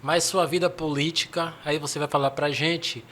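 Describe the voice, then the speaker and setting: A man hosts a casual podcast in a slightly higher voice. His delivery is friendly and upbeat, like an announcer warming up a chat. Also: fairly even intonation, moderate pace. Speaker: podcast host. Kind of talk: casual podcast hosting, reads sponsor spots.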